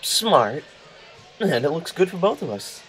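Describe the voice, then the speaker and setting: A man playing a cocky, image-conscious high school boy in an audio drama, glib and self-assured. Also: very animated, a little slow, a bit bright, faint music behind, some chatter behind, rough recording. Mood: self-satisfied.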